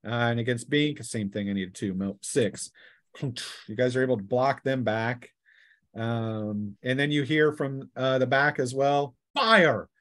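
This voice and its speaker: A man's voice: medium pitch, a little slow, fairly bright tone, no room sound. Male game master, mid-range voice, informal table talk, narrating combat and rulings to players. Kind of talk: running a tabletop role-playing game.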